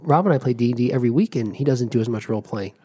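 A man's voice: medium pitch, animated, racing, mellow, slightly rough. A man co-hosting a casual podcast, playing in a tabletop game and teasing his friends. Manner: joking, teasing, eager. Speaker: co-host, player.

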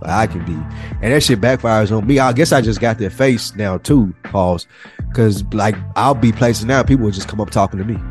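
A man's voice: fairly low pitch, a little fast. A man talks casually as a podcast co-host. He tells stories in loose slang, voicing the other side of conversations as he goes. Faint music plays behind him. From Nashville, United States. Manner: animated slangy storytelling, acting out what others said. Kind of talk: casual chat, storytelling.

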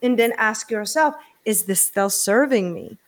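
A woman's voice: on the high side, expressive, a little slow. A woman with a Czech accent, talking earnestly as a guest in a casual interview. She keeps posing rhetorical questions to the listener. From Czech Republic.

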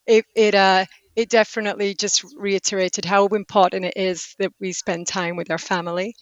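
A woman's voice: medium pitch, little pitch movement, normal speed, no echo, highs cut off. A woman in her forties with a Yorkshire accent and a head cold, chatting casually and self-deprecatingly. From England. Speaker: podcast co-guest. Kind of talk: casual chat.

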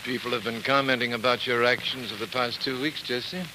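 An actor plays a protective middle-aged father in an old radio drama. He is stern, formal and restrained, with a medium-pitched voice. Clear hiss covers the recording. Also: steady tone, brisk, lightly acted. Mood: stern, measured. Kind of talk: 1940s radio drama acting. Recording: noisy, hissy 1949 radio recording.

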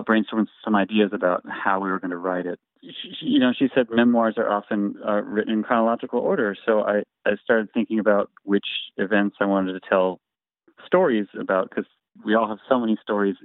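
A man's voice: lowish voice, steady tone, a little fast, dry recording. A man in his forties, a singer and memoir author, chatting in an easygoing, modest way as an interview guest. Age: 40s. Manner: easygoing and modest, chuckles often. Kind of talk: casual interview chat. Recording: thin phone-like line, dry.

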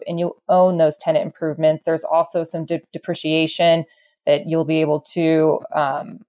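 Female interview guest, low pitch, property professional, thoughtful explanatory delivery with a halting flow. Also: mellow. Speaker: real estate expert guest.

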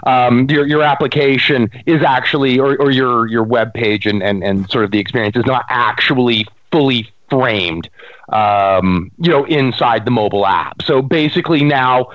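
A man's voice: mid-range voice, moderate pace, no echo, lo-fi sound. A man co-hosting a casual talk show while stuffy from a cold, rambling and searching for words. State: stuffy, getting over a cold. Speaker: podcast co-host. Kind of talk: casual chat.

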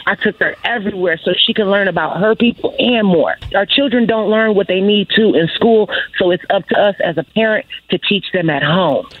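A woman's voice: medium pitch, a little fast, few pauses, dry recording. A woman calling in to a radio show, giving a firm opinion. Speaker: radio caller. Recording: phone line.

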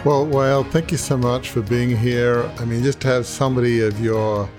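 A man's voice: mid-range voice, steady tone, normal speed, music in the background. A man hosting an interview show who asks long, rambling questions with restarts. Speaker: host.

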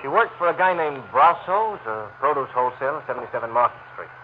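A man's voice: mid-range voice, expressive, fast, lightly acted. A man playing a wary, dogged homicide lieutenant with a clipped, informal, probing manner in a 1940s radio crime drama. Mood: suspicious, insistent. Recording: muffled, noisy old radio recording.

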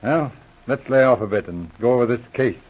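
An actor playing a calm, methodical senior police inspector in 1940s radio drama, explaining things with measured authority. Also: male voice, medium pitch, lightly acted. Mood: methodical, confiding. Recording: dull, muffled old radio recording.

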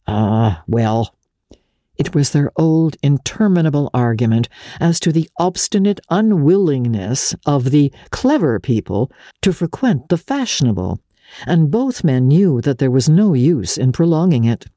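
A woman narrating an audiobook of classic literature as a dramatic reading, measured and expressive. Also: sits low, dry recording, studio quality.

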